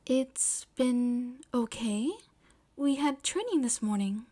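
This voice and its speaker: Gracious teenage girl, performed by a woman, high-voiced, formal and a bit hesitant, for an audio story. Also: takes it slowly, mellow. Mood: flustered, courteous. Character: polite, gracious, flustered teenage schoolgirl.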